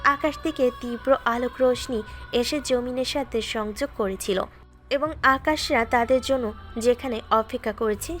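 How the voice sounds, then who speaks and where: A woman narrating a scripted story in a steady, dramatic way. Her voice sits a little high, and faint background music plays beneath her. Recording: music bed behind.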